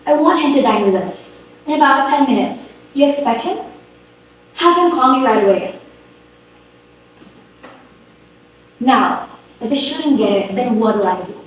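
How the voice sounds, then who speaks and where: A woman's voice: high-pitched, expressive, normal speed. A woman playing a fussy, flustered, status-hungry middle-aged society hostess in an amateur play reading, theatrical and bossy. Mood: bossy, hurried. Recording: very echoey room, distant mic, hiss.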